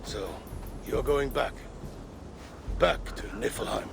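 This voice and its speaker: An actor playing the blunt, reproachful grown son of a Norse god in a video game, with a stern, gravelly delivery. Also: male voice, mid-range voice, takes it slowly, background noise. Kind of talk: dramatic game cutscene acting.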